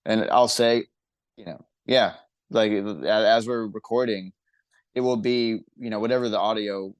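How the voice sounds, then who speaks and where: A man's voice: lowish voice, steady tone, a little slow, no echo. A man who sings and writes songs for a band, talking casually about making music in a podcast interview. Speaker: singer and songwriter in a band.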